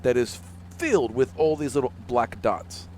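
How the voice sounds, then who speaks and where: A man runs a tabletop game as its game master, in a mid-pitched voice. He narrates off the cuff in a conversational style, with clear noise behind him. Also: normal speed, darkish tone.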